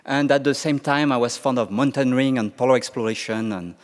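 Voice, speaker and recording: A man's voice: medium pitch, brisk, big-room sound. A man with a French accent, a scientist giving a conference panel talk, hesitant and full of restarts. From France. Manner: hesitant, many restarts and fillers. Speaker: panel speaker, Mars climate scientist. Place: conference hall.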